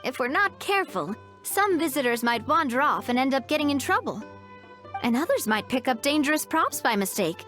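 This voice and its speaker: A woman voicing a confident, upbeat young festival organizer in a video game, breezy, expressive and reassuring. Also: high voice, normal speed, faint music behind. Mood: helpful, explaining.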